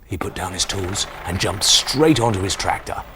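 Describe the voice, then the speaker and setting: A man in his forties reading a children's bedtime story expressively, with dramatic, hushed emphasis. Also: deep voice, expressive, normal speed, background noise. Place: small TV studio kitchen set, indoors. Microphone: lapel mic.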